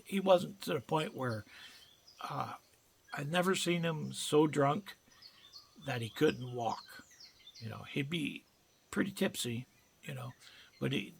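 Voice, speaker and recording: A man's voice: medium pitch, gravelly, a little hiss or hum. An older man telling personal stories in a casual, folksy, rambling way with colloquial phrasing. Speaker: storyteller recounting personal memories. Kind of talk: casual reminiscing monologue.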